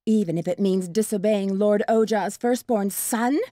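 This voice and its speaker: An actress playing a sadistic, mocking murderess in a fantasy game, with a silky, taunting delivery. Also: female voice, mid-range voice, normal speed, mellow, dry recording, clean studio sound, natural voice. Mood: scornful. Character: sultry, sadistic female Serpent Clan murderess, mocking. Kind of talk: dramatic fantasy game voice acting.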